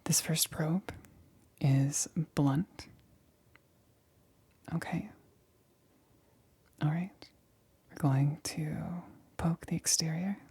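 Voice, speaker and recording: A woman playing a nervous, methodical lab assistant in a whispered ASMR roleplay, speaking haltingly in a breathy whisper. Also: sits low, dark tone, full character voice. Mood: cautious, clinical. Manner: hesitant, halting, repeats reassurances.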